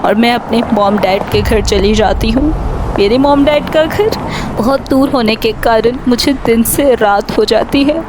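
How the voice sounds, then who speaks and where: A woman's voice: on the high side, a little slow, noisy background, close to an everyday voice. A woman playing a fearful young wife who narrates her own horror story in a dramatic scripted style. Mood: fearful storytelling. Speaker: first-person story narrator. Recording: background music under voice.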